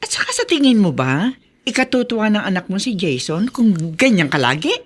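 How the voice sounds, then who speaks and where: A woman's voice: mid-range voice, big pitch swings, brisk. A woman acting a blunt, exasperated, scolding friend with a no-nonsense, emphatic manner in a Filipino radio drama.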